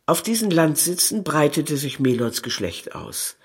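Husky male literary narrator. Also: fairly high pitch, very animated, unhurried. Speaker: narrator reading literary prose.

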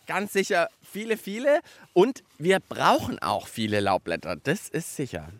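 A man's voice: a little high, very animated, measured pace, a bit bright, no room sound. A man hosting a children's radio show outdoors in a park, playful and enthusiastic. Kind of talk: lively kids' show presenting.

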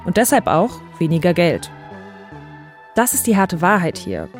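A woman's voice: lowish voice, huge pitch swings, normal speed. Woman podcast host, conversational scripted narration, music playing under her.

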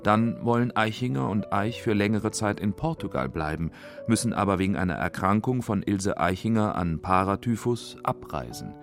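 A man's voice: lowish voice, lively intonation, normal speed, faint music behind. A man narrating a scripted radio feature as its documentary narrator.

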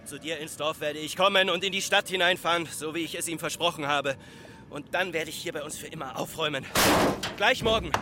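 A man's voice: fairly high pitch, a little fast, lightly acted. A man playing a bitter, stubborn villager in a radio drama, with brooding, repetitive, poetic phrasing. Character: bitter, stubborn villager resenting a relative's machine. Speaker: actor. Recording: clear outdoor urban, vehicle noise.

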